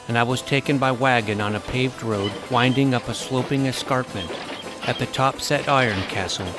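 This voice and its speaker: A man playing an earnest young hero who tells his own fantasy adventure in measured, literary first-person narration. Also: mid-range voice, lightly acted. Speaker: narrator and lead character. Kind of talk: first-person scripted storytelling, audio drama. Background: music under the voice.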